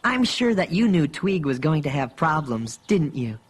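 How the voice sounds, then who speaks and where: A man voicing a kindly young toy bear who tells children's stories in a gentle, patient manner. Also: fairly high pitch, very animated, normal speed, a little hiss or hum. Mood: kindly, reflective. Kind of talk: children's storytelling.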